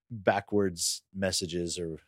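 A man's voice: low voice, fairly even intonation, drawn-out words, dry recording, clean studio sound. A man co-hosting a podcast, chatting casually and putting questions in a conversational way. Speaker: podcast co-host.

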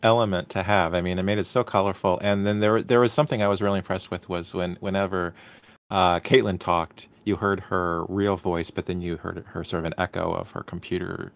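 A man hosting a casual question and answer session, halting and repeating words as he forms questions. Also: low-pitched, flat delivery, vocal fry. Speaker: panel moderator.

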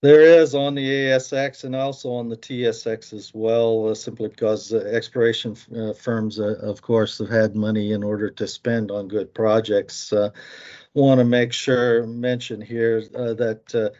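A man with an American accent giving market commentary, halting often with fillers. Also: medium pitch, flat delivery, darkish tone, muffled recording.

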